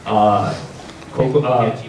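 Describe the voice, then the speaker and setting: A man's voice: lowish voice, steady tone, slow, darkish tone. Man presenting in an echoey convention hall. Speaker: panel presenter for a game publisher. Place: large indoor convention panel room. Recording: echoey convention hall, distant mic, audience noise. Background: audience crowd.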